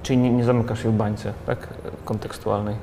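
Quick-talking host guy, 30s, lowish voice, keeps saying mhm, bit of hiss. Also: level tone, mellow. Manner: quick, eager, lots of back-channel "mhm". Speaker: interviewer, host. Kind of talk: conversational interview questions. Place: studio set in a large glass-walled building.

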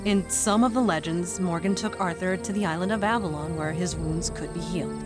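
A woman's voice: lowish voice, a little fast, over music, natural voice. A woman playing a skeptical young journalist who explains and argues with exasperation, in a fan audio drama. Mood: explaining.

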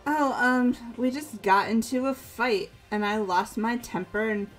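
A woman's voice: on the high side, unhurried, close to an everyday voice, rough recording. Woman as an earnest, emotional teenage girl. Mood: sheepish. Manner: hesitant, sincere. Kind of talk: video game character voice-over. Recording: music bed behind voice.

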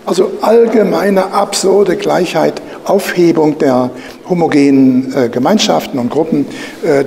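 Older guy at a lectern, gravelly voice, ranting a bit, lots of filler, mic on a stand, faint hiss. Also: on the high side, a little mellow. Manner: opinionated, polemical, with frequent filler "äh" and "ja?". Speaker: lecturer. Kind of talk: lecture from a lectern. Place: bright lecture room, indoors. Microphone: studio mic on a stand at a lectern. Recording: reverberant room, light hiss.

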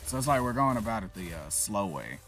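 Gravelly low-voiced man commenting on gameplay. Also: very animated, a little fast, soft background music. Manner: swears freely, reacts loudly to in-game events.

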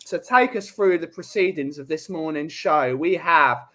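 A man's voice: fairly high pitch, moderate pace, pushed and bright. A man, hosting a casual chat show over a video call. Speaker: host. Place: home room with brick wall.

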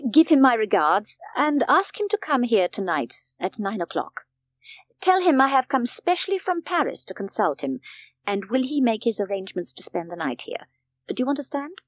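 A woman voicing a formal, authoritative gentleman of the house in a storybook, with a brisk manner. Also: on the high side, very animated, no room sound, pitched above the performer's own voice. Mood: brisk, commanding. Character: commanding, anxious wealthy gentleman father of the house.

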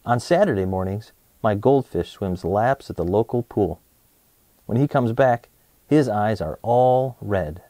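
A man in his thirties presenting and reading a children's storybook aloud in an expressive way, speaking to young listeners. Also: fairly low pitch, measured pace, dry recording. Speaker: host of a children's read-aloud show.

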